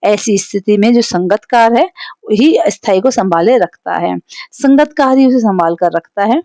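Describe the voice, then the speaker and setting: A woman teacher with a Hindi accent takes a poem apart line by line, patient and explanatory. She stops now and then to check that her class is following. Her voice sits at a middle pitch, and the recording is dry, with no room sound. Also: normal speed, mellow, dry recording. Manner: explanatory, frequent "theek hai" check-ins.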